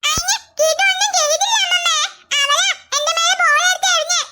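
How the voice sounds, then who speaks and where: Cartoon brat voiced by a performer, tiny boy, sulky, whiny, squeaky, fast, noisy track. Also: fairly even intonation. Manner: sulky, whining, crying. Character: small whiny mischievous boy. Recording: loud background noise.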